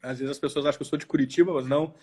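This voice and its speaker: A man's voice: medium pitch, steady tone, racing, a little mellow, slightly rough. A man in his forties with a southern Brazilian accent from Porto Alegre, speaking casually and reflectively in an interview, often repeating words as he goes. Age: 40s. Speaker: law professor interviewed as guest. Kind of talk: casual interview, recounting own life.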